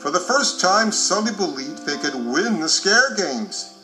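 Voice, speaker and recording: A man reading scripted children's story narration with expressive, clearly phrased storytelling. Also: high-pitched, a little slow, low-quality recording. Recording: music behind the voice.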